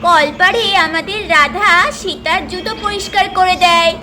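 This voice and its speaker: A woman narrates a children's doll story in a high voice, addressing her viewers as a storyteller. The home-made recording carries clear noise. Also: normal speed, bright, forceful tone.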